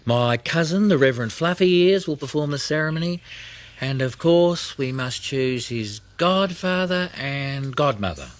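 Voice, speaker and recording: A man's voice: on the high side, animated, measured pace, no echo. A man voicing a proper, chatty mother koala in a children's storybook. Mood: busily planning. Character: mother koala, fussy and proper, arranging family affairs.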